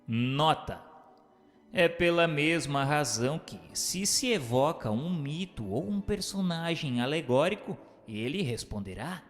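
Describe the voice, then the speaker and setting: A man reading scripted questions and notes as a narrator, in a formal, declamatory and deliberate way. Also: a little high, animated. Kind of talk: scripted narration of a spiritist book. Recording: long hall reverb, music bed.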